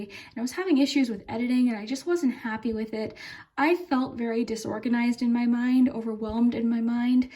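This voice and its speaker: A woman with a fairly high, very soft-edged, mellow voice talks through a tarot reading as its host. She speaks casually and off the cuff, in a gentle, calming manner that often tapers into quiet. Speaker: tarot reader and channel host.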